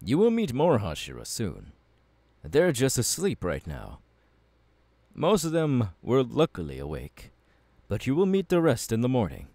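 A man's voice: mid-range voice, wildly swooping pitch, unhurried, mellow, no echo, full character voice. A man playing a passionate, courteous young warrior mentor in an ASMR audio roleplay, speaking in an earnest, formal and encouraging way. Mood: earnest, proud.

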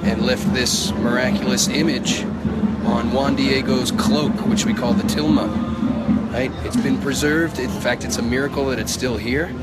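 A man's voice: mid-range voice, fairly even intonation, moderate pace, over loud music. A middle-aged man who has lost his voice, walking through a crowded basilica and speaking into a phone held at arm's length, with devout prayerful asides to viewers. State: hoarse, losing his voice. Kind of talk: live walk-through phone broadcast. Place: crowded basilica and plaza, indoors and out. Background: loud drumming from dancers.

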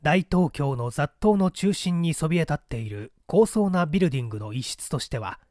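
A male narrator with a slightly high voice tells a mystery story with deliberate theatrical delivery. His intonation rises and falls in a lively way, and the sound is very dry, with no room around it. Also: no room sound. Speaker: audiobook narrator.

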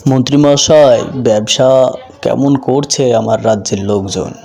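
A man's voice: mid-range voice, moderate pace, gravelly, darkish tone. A man voicing a skeptical, commanding king in a folk tale cartoon. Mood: doubtful, dismissive. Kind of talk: cartoon voice acting.

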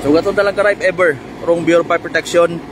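A Filipino male field reporter with a fairly high voice delivers live on-scene commentary. He improvises haltingly as he addresses viewers. The outdoor roadside recording carries clear vehicle and ambient noise. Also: steady tone. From Philippines. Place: outdoors on a road at a fire scene.

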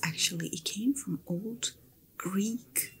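A woman playing an attentive, friendly fantasy inn hostess in a gentle, whispered ASMR roleplay. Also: mid-range voice. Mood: soft, attentive. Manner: gentle, unhurried, chatty personal attention.

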